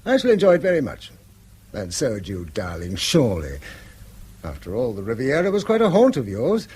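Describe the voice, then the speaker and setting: An actor playing a proud, hard-up middle-aged English schoolmaster and husband in a radio drama, earnest, persuasive and slightly declamatory. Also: male voice, mid-range voice, wildly swooping pitch, normal speed, darkish tone, slightly rough. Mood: playful, persuasive. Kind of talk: British radio drama acting.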